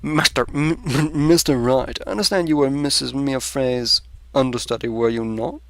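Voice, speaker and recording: A man voicing a smug, sneering male prosecutor in a video game, deliberate and haughty. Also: medium pitch, a bit bright, dry recording, lightly acted. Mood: smug, taunting. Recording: music under the voice.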